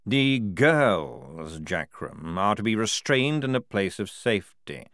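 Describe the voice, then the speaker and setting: A man playing a calm, commanding old general. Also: on the low side, no room sound, clean studio sound, heavily acted. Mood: calm, firm. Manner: measured, unhurried, quietly commanding. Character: calm, dry-witted senior male army general.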